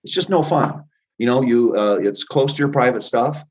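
A man's voice: medium pitch, animated, moderate pace, a little mellow. A man in his fifties, a guest on a call, talking emphatically and repeating himself with great confidence. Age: 50s. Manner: emphatic, repetitive, self-assured. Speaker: guest, energy healer. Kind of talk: casual interview talk.

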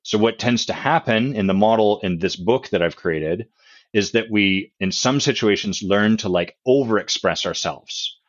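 A man, the author and guest, explaining his concepts in a relaxed podcast chat with examples and analogies, with a loud voice. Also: on the low side, normal speed, muffled recording.

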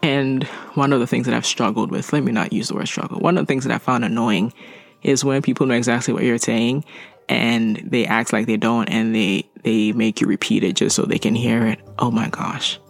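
Gravelly-voiced man, non-native English speaker. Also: medium pitch, steady tone, brisk, no echo. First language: not English. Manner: chatty, self-aware, joking asides. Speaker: podcast host. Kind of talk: reading listener letters with casual asides.

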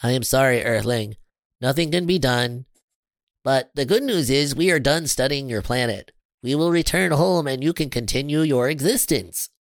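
A man's voice: medium pitch, moderate pace, no room sound, natural voice. Man voicing a formal, fretful little alien scientist. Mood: matter-of-fact. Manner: formal, stilted phrasing.

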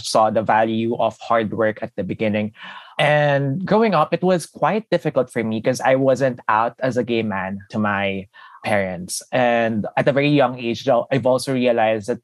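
A man's voice: medium pitch, dry recording. Podcast guest, young man from the Philippines, earnest and laughs a lot, dry close sound. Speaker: podcast guest, finance analyst. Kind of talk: casual interview storytelling.

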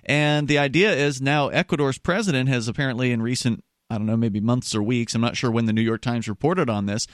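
A man from the American South co-hosting a talk radio show, emphatic and quick to jump in. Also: mid-range voice, no echo, clean studio sound. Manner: emphatic, quick to agree and interject. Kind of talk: casual talk radio chat. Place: radio studio. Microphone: studio mic, close.

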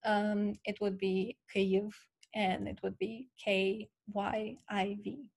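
A woman's voice: medium pitch, level tone, slow pace, vocal fry, darkish tone. A young woman from Ukraine with a Ukrainian accent, a guest in a casual interview, explaining earnestly with many fillers.

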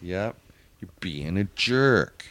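A man's voice: low-pitched, lively intonation, takes it slowly, fairly bright tone. A man co-hosting a casual podcast, arguing his points emphatically and bickering with his co-host. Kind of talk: casual opinionated chat.

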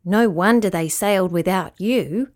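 A woman voicing a small, cheeky male sea rat in a storybook who talks lively and a bit smug. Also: mid-range voice, measured pace, natural voice. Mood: chatty.

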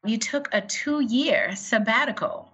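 A woman's voice: a little high, expressive, takes it slowly, a bit bright, slightly rough, highs cut off. A woman co-hosting an interview, asking questions in an upbeat, laughing manner. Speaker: podcast co-host. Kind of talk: casual interview chat.

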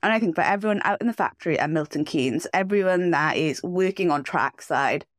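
A woman with a fairly low voice hosts a sports podcast on her own in a close, dry recording. She speaks with enthusiasm and leans on key words for emphasis, and a cold leaves her sounding a little rough. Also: fairly quick, no echo. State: slightly rough voice from a cough and cold. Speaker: podcast host. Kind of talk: solo sports podcast commentary.

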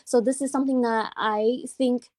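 A woman whose first language is Chinese, talking casually as an interview guest, relaxed, with fillers and frequent laughs. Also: on the high side, moderate pace, no echo, studio quality. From China. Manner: easygoing, many fillers, laughs often. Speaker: guest, business co-founder.

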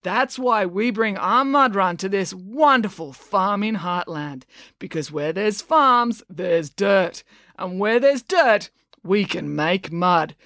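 A woman's voice: lively intonation, a bit bright, no room sound, clean studio sound. A woman voicing a muscle-bound male event promoter in a storybook, bellowing and drawing out words to rally a crowd. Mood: hyped, salesy. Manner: booming, drawn-out crowd-rallying delivery. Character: bellowing muscle-bound male mud-run promoter, relentlessly rousing showman. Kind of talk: storybook character voice.

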